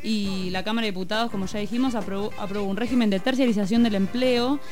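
Female radio co-host, mid-pitched, jokey casual news chat, faint music under. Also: fairly even intonation, fast talker, a little mellow. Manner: joking, conversational, reads news items.

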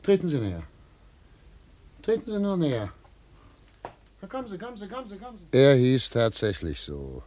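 A man's voice: a little high, wildly swooping pitch, moderate pace, long pauses, a little mellow, a little hiss or hum. A stern, impatient investigator from a radio drama. His intonation swings widely as he fires clipped, commanding questions and demands exact answers. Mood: coaxing, brusque, then dry, narrating. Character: stern, impatient male investigator interrogating a suspect. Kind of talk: German radio drama acting.

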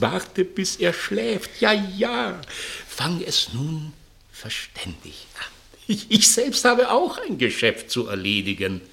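An actor playing a cynical, scheming master who philosophizes grandly about death in a theatrical, sardonic radio play style. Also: male voice, mid-range voice, creaky, sharp tone, full character voice. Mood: scheming, urgent. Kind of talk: 1960s German radio play acting.

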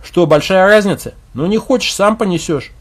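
A man's voice: sits high, expressive, moderate pace, voice pushed up. A man voicing a blunt, commanding war veteran who speaks curtly and with scorn. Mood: stern. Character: tough, blunt male leader, war veteran.

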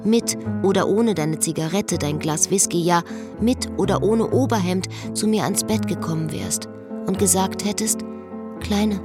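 An actress playing a wistful, lovesick woman, brooding and quietly bitter, in an intimate literary radio drama. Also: medium pitch, lightly acted. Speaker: lead actress and first-person narrator.

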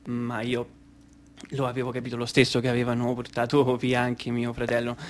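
A man's voice: mid-range voice, completely flat delivery, normal speed, pitched below the performer's own voice, lightly acted. An actor playing an earnest small boy whose brother has disappeared, telling it plainly in a stage monologue with insistent repetitions. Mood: quiet, matter-of-fact. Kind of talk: theatrical monologue storytelling.

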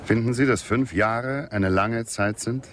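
An actor in a German radio drama playing a disillusioned western hero, grave and bitterly accusing. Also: male voice, mid-range voice, unhurried, fairly bright tone, a little hiss or hum, natural voice. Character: grieving, disillusioned aging western hero, man.

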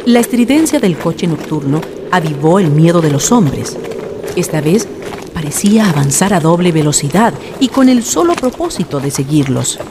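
A solemn narrator tells a scripted radio drama tale in literary phrasing. The voice sits in the middle register, with clear background noise and ambience around it. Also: mid-range voice, big pitch swings, moderate pace.

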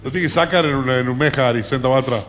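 A man's voice: mid-range voice, fast talker, a bit bright, slight background noise. An Argentine man co-hosting a radio show, making wry, joking remarks about politics. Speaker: radio co-host. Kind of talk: comic political banter with co-hosts. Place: live radio broadcast from a bar.

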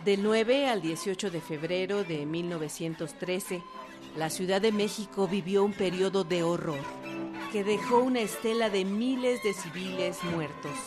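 A woman narrator reads scripted radio history. Her voice sits at a middle pitch, with music playing clearly behind it. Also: normal speed.

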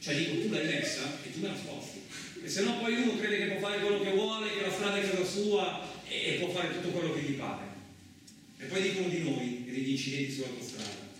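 A very echoey, distant recording with clear noise carries a man acting a rambling trucker in a radio play. His voice sits fairly high for a man. He is boastful and touchy, chatting and justifying himself with rhetorical asides. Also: expressive, a little fast, lightly acted. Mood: grumbling. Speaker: actor in a radio theatre monologue. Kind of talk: theatrical radio monologue, colloquial storytelling.

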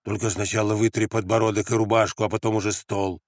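A male narrator reads as a bossy older peasant woman in a war novel. The voice is low and curt, scolding and blunt, recorded dry. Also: level tone, fast, no echo, natural voice.

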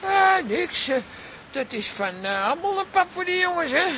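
A man's voice: extremely high pitch, sing-song, moderate pace, pushed and bright, slight background noise, exaggerated voice. A man playing a protective, disapproving father in a radio comedy, hesitant and old-fashioned in his phrasing. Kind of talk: radio comedy drama acting.

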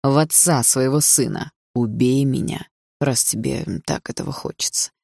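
A woman's voice: rumbling low, moderate pace, creaky, a little mellow, slightly rough, no room sound, studio quality, full character voice. A woman voicing a menacing, obsessive and smug husband with an insinuating delivery in an audiobook. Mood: coaxing, taunting. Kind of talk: audiobook character dialogue.

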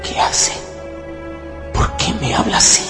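A man's voice: slow, over music, lightly acted. A man voicing a wary, proud wolf-dog thinking aloud in a suspicious, defiant way, in a radio drama. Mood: wary, puzzled. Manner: suspicious, defiant inner monologue. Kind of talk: Spanish-language radio drama acting.

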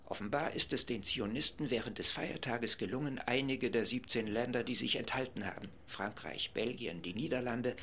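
A man's voice: mid-range voice, a bit bright, a little hiss or hum, close to an everyday voice. An actor playing a male radio reporter giving live commentary from a packed assembly hall in a radio play. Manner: brisk, informative, narrating events.

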